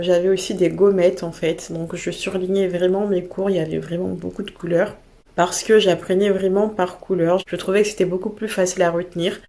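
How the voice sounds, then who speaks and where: A young French woman with a slight cold, giving study advice in a relaxed, chatty monologue with frequent fillers. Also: on the low side. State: has a cold. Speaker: vlogger sharing study tips.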